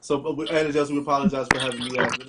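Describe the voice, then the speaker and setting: A man hosting an online panel discussion in a halting way, repeating phrases, from a room at home on a video call. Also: mid-range voice, fairly even intonation, heavy background noise. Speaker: host. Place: small room at home, indoors.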